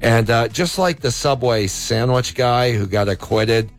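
A man's voice: medium pitch, unhurried, faint music behind. A man, a law professor, giving legal commentary on talk radio, arguing his points with examples. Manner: argumentative, explains with examples. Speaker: law professor, co-host guest.

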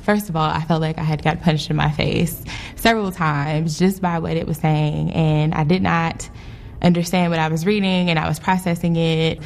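Low gravelly woman from Cleveland. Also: moderate pace, slight background noise. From Cleveland, Ohio. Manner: animated, vivid storytelling. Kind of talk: personal testimony.

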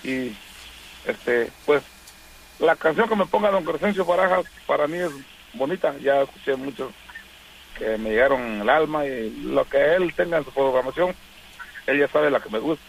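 Gravelly rambling male radio caller. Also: mid-range voice, moderate pace, a little hiss or hum, rough recording. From Oaxaca, Mexico. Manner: rambling, with many hesitant fillers. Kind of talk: casual phone-in greetings.

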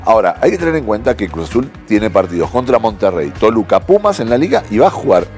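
Brief: male pundit, low voice, animated delivery. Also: faint music behind. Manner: animated, quick, voseo. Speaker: sports pundit. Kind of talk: studio sports talk.

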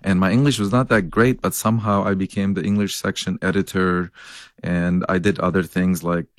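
A man's voice: sits low, steady tone, moderate pace, dry recording, clean studio sound. A middle-aged man from Lebanon, a scientist and professor, answering interview questions in an earnest, storytelling way with occasional laughs.

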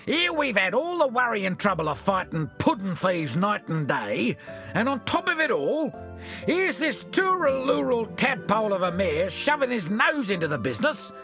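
A man voicing Bill, a gruff, indignant koala sailor. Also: high voice, very animated, moderate pace, pushed and bright, soft background music, pitched above the performer's own voice, heavily acted. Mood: furious.

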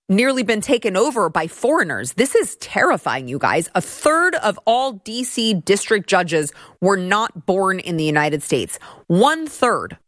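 A woman's voice: mid-range voice, a bit bright, no echo, clean studio sound. A woman hosting a political commentary show, talking in a sarcastic, mocking way. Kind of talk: opinion commentary monologue.

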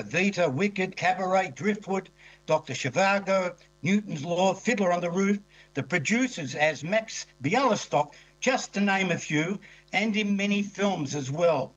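A man hosting a program, introducing his guest with measured enthusiasm in a polished presenter manner. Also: high voice, muffled recording. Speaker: radio program host. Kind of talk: formal interview introduction.

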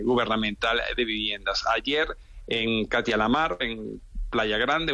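A man giving a live news report as a correspondent, halting, with many fillers and repeated words. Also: medium pitch, sharp tone, no room sound.

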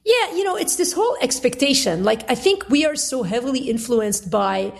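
A middle-aged woman from Lebanon speaks as an interview guest. Her voice is fairly high with a husky edge, and she explains things in a candid, conversational way. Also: expressive, normal speed. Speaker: interview guest, author and Bible teacher.

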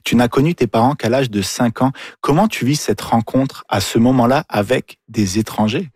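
A man's voice: medium pitch, normal speed, darkish tone, husky, no echo, clean studio sound. A man hosting a radio show, introducing a guest and interviewing conversationally.

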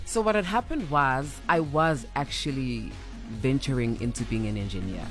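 A young South African woman with a deep voice, chatting playfully as a radio guest and slipping in Zulu phrases. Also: animated, moderate pace, faint music behind. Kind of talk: casual interview chat.

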